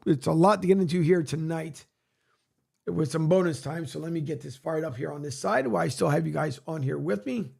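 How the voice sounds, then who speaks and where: A man hosting a sports radio show, rambling casually with many fillers, his voice raspy from a long day. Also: on the high side, a little mellow. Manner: rambling, many fillers, plugs and reads news aloud. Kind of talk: casual solo sports talk, filling time.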